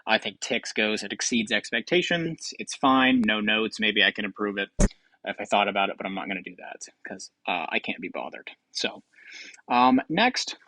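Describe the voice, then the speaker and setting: A man's voice: fairly low pitch, moderate pace, background noise. A man hosting a game show with casual, improvised commentary in a rambling, offhand way.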